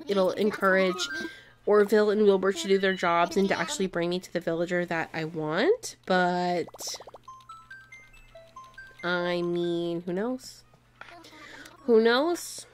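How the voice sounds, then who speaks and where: Woman streamer chatting over her game, kinda dramatic and begging a lot, voice getting worn out, music playing underneath. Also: mid-range voice. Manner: chatty, pleading, playfully dramatic. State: losing her voice. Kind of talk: casual gameplay commentary.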